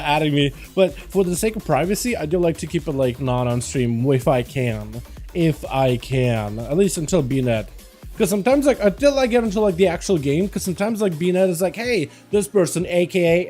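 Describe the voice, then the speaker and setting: Young guy from russia on a headset, high mellow voice, rambling stream chat with soft music behind. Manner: loose and chatty, many fillers and restarts. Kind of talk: casual livestream chat.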